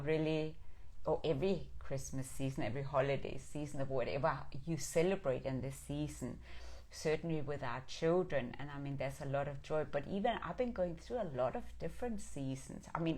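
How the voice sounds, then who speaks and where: Woman, middle-aged, from Denmark, low voice, earnest and affirming, reflective inspirational live talk. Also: normal speed, a little mellow. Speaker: live stream host. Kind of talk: reflective inspirational talk, reading and answering viewer comments.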